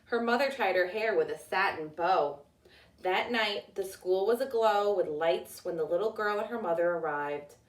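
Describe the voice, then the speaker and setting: A woman narrating a children's storybook as a teacher reading aloud, with deliberate, expressive delivery. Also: mid-range voice, recorded from a distance. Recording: echoey room, away from the mic.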